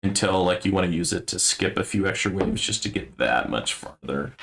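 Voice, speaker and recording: Male streamer, deep gravelly voice, musing low-key play-by-play. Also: animated, fairly quick, background noise. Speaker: game streamer. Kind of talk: casual gameplay commentary.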